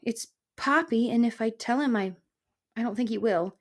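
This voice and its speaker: A woman's voice: on the high side, moderate pace, voice pushed up. Storybook young mouse, female, played by a woman: small, raised voice, anxious, stammering and pleading. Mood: frightened, pleading.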